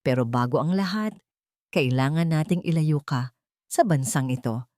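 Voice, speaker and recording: A stern, calculating man acted out by a woman speaks as a vengeful mentor in a radio-style story. The delivery is grave and commanding, in a low voice with a dry sound. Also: moderate pace, dark tone, dry recording, natural voice. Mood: cold, resolute.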